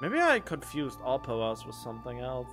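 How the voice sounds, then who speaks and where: A man giving casual, expressive gameplay commentary, talking in halting, emotional bursts. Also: mid-range voice, over music. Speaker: game streamer. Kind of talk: casual reacting commentary over a game.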